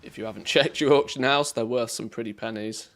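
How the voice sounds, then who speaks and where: A man with an English accent giving relaxed, chatty commentary on a live game stream, reacting to play and greeting viewers. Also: mid-range voice, fairly quick. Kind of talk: casual live-stream commentary while gaming.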